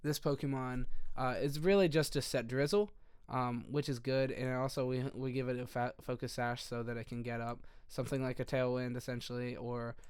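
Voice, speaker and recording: A young man with a hoarse voice that keeps giving out, casually walking through a game strategy in a rambling, self-correcting way. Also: medium pitch, fairly even intonation, normal speed, darkish tone, no echo, clean studio sound. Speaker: video creator.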